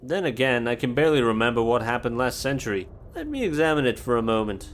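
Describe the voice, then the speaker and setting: A man's voice: medium pitch, very animated, fast, natural voice. A man playing a grumbling, logical, centuries-old doctor in an audio drama, with a dry, pedantic, sardonic manner. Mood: dry, measured. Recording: noisy ambience behind the voice.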